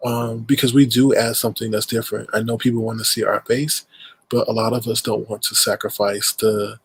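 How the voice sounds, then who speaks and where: A man's voice: fairly low pitch, level tone, a little fast, gravelly, a little hiss or hum. A man with a stuffed-up nose hosting a casual livestream, rambling and greeting viewers in chat mid-sentence. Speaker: livestream host.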